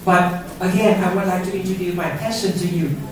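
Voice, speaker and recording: A man's voice: high voice, fairly even intonation, fairly quick, background noise. A middle-aged man whose first language is Vietnamese gives an earnest conference talk and keeps asking the audience questions with a tag of "yeah?". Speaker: conference speaker. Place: university auditorium. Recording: roomy auditorium sound from far off.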